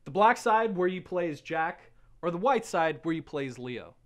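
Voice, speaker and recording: A man's voice: fairly high pitch, animated, moderate pace. A man narrating a game review in a playful, sarcastic way.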